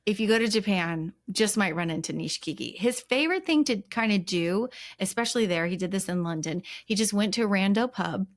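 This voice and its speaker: A woman co-hosting a casual podcast, talking in a bubbly, rambling way and laughing often. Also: medium pitch. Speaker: podcast co-host.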